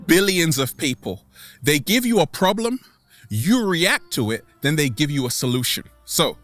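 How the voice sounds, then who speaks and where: Animated, bright-voiced man with theatrical delivery. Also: mid-range voice. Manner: theatrical, repeats catchphrases, dramatic pauses, exclaims. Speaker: vlog host. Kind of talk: animated comedic monologue to camera.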